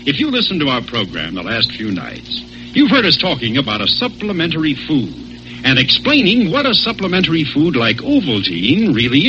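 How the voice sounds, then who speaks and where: On a muffled old radio recording with music, an American man announces in 1940s sponsor style. His emphatic, folksy delivery swings dramatically in pitch to drive each phrase home. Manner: emphatic, folksy persuasion with repetition.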